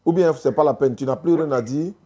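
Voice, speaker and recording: A woman debating religion live as a host, insistently repeating pointed questions in a husky voice. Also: low voice, brisk, noisy background. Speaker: host taking phone calls on religion.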